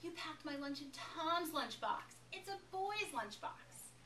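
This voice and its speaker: A woman's voice: sits high, lively intonation, takes it slowly, pushed and bright, voice pushed up, exaggerated voice. A woman voicing an anxious, plaintive first-grade girl in a storybook read-aloud. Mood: upset, plaintive. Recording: echoey, noisy room, distant microphone.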